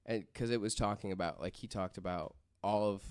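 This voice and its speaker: A man's voice: fairly low pitch, brisk, clear, resonant tone. A man chatting casually as a podcast panelist, often tossing in joking remarks. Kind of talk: casual chat.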